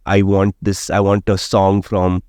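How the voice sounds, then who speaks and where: A man, speaking in a fairly low register. He lays out his opinions at length, like a seasoned radio host, and keeps asking "right?" to check his listener is following. The studio sound is close and dry, with no room echo. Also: completely flat delivery, measured pace, darkish tone, clear, resonant tone. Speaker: radio jockey and guest. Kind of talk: opinionated interview conversation.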